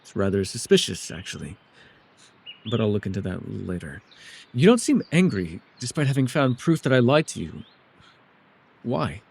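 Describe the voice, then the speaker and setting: A man playing a mysterious, soft-spoken elf with a gently teasing, secretive way, in an immersive ASMR roleplay with hesitant, tender asides. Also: lowish voice, very animated, moderate pace, vocal fry, pauses often, slightly rough, heavily acted. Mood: flustered, guarded.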